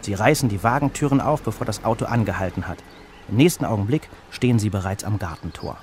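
Low-voiced man reading a children's story. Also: lively intonation, fast, noisy background. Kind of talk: scripted storybook narration.

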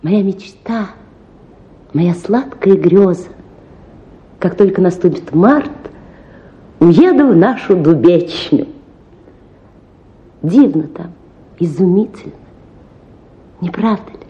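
A woman's voice: fairly low pitch, lively intonation, slow, long pauses, dull audio. A woman playing a spirited, dreamy young lady idealist in a theatrical radio drama, effusive and self-assured.

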